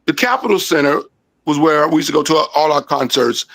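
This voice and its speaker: A man's voice: on the high side, brisk, a bit bright. A man of sixty from Maryland telling a rambling story in a casual panel discussion, repeating himself and saying "man" a lot, over a video call. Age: 60s. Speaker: co-host.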